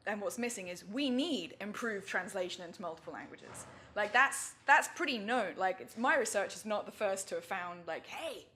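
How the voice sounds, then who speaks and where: A woman researcher speaking informally and self-deprecatingly as she answers questions after a talk. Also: a little high. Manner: informal, self-deprecating, animated slang phrasing. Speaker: guest speaker, museum education researcher. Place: indoor talk venue with audience.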